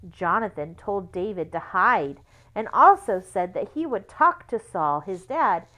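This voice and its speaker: A woman reading a story aloud to children in a deliberate, explanatory way, pausing to guide young listeners along. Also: medium pitch, very animated, bright, forceful tone. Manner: deliberate, explanatory, spells out words and numbers. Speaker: children's Bible school read-aloud reader. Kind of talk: read-aloud storytelling for children with teaching asides.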